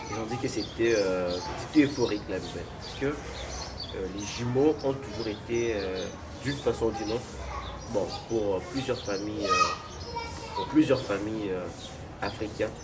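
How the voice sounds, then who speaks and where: Young Congolese man, very noisy recording. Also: on the low side. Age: young adult. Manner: measured, frequent fillers. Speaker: guest, student. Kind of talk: interview answers. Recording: loud noise, birds cooing.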